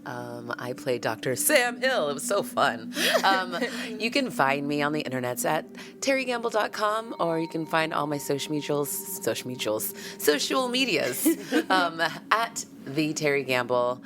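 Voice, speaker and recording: A woman's voice: sits low, sing-song, moderate pace. A woman, a role-play show player, chatting casually to listeners. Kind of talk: casual chat.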